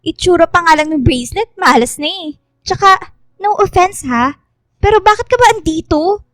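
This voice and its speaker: A woman's voice: extremely high pitch, animated, moderate pace, dry recording. A woman playing a snobbish, scheming young mean girl in a radio drama, haughty and taunting, mixing English into her lines. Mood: taunting, scornful.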